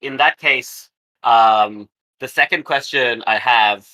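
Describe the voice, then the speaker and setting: A man with a very bright, forward voice at middle pitch. He chats casually as a roleplaying game player, tentatively thinking his way through what to do. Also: takes it slowly, rough recording. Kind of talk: casual game-table chat.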